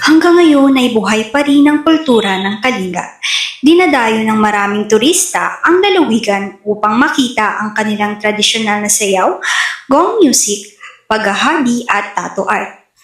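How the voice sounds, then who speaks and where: A woman's voice: on the high side, animated, moderate pace. A woman with a Filipino accent reading scripted narration for a folk epic dramatization. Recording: echoey room.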